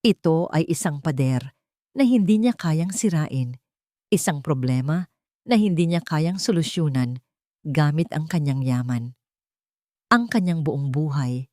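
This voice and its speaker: A low-voiced woman tells a dramatic story from a script. Her tone is dark and mellow, and she breaks sentences into short, measured phrases. The sound is dry and close. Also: no echo.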